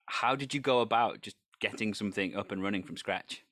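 A man's voice: fairly low pitch, brisk, a bit bright, no echo, studio quality. A man hosting a casual interview in an encouraging, jokey way. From Wales. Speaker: interview host.

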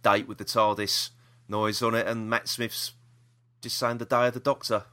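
A man's voice: lowish voice, level tone, moderate pace, pushed and bright. A British man hosting a podcast, chatting casually about the news in a rambling, opinionated way. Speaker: podcast host.

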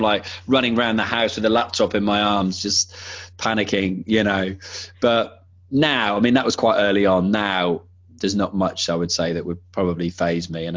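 A man's voice: lowish voice, lo-fi sound. A man talking casually as a podcast guest, enthusiastic and conversational, restarting his sentences as he goes.

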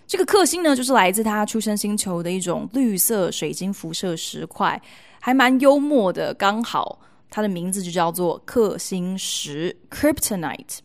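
Taiwanese mandarin lady doing a chatty podcast monologue, playful and expressive, mid pitched voice. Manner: expressive, playful emphasis with colloquial sentence-ending particles. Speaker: podcast host. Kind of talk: chatty storytelling monologue to listeners.

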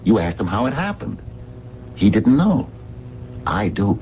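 A man's voice: fairly low pitch, sing-song, measured pace. An actor in a radio mystery drama playing a streetwise working-class sleuth, slangy and emphatic as he reasons step by step. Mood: insistent, reasoning. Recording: muffled, noisy 1970s radio broadcast.

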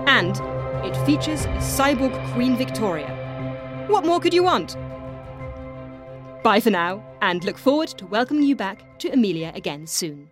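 A woman announcer delivering a scripted promotional shout-out for a podcast. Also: a little high, very animated, normal speed, pauses often. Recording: loud music behind the voice.

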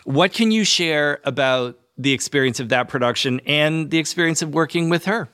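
A man's voice: on the high side, moderate pace, a bit bright, smooth, no echo, studio quality. A man hosting a podcast, interviewing a guest in a polished, conversational way.

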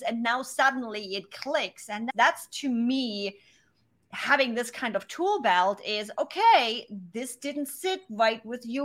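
A woman's voice: high voice, a bit bright. A woman hosting a podcast interview, chatting in a bubbly, exclamatory way. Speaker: podcast host. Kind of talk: casual interview chat.

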